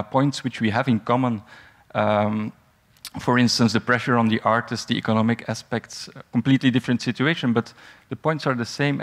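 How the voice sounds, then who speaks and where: A man speaking on a conference panel, measured and hesitant with many fillers and restarts. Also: medium pitch, fairly bright tone. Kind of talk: panel discussion answer.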